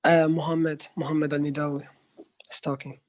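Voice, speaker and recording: A young man from Iraq speaking over a video call. His voice sits somewhat high and stays flat in tone, and he sounds polite and a touch unsure. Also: moderate pace. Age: 20s. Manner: polite, hesitant, a little unsure. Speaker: student. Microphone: computer mic on a video call.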